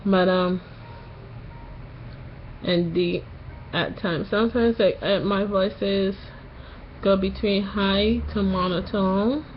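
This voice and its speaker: Young woman, post-surgery sore throat, slow halting delivery, slightly nasal, muffled recording. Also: medium pitch, steady tone, a little hiss or hum. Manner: slow, halting, pauses often. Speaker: vlogger. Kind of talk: casual vlog to camera.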